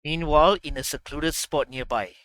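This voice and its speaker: A man's voice: on the high side, normal speed, fairly bright tone. A man reacting to a video game story, trash-talking the characters and reading narration aloud.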